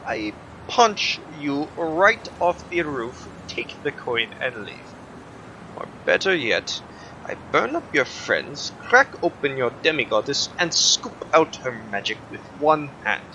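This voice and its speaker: An actor playing an arrogant, menacing supernatural villain in an audio drama, speaking with theatrical, mocking disdain. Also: male voice, fairly high pitch, sing-song, slow pace, pushed and bright, a little hiss or hum, exaggerated voice, low-quality recording. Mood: mocking menace. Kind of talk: scripted audio drama acting.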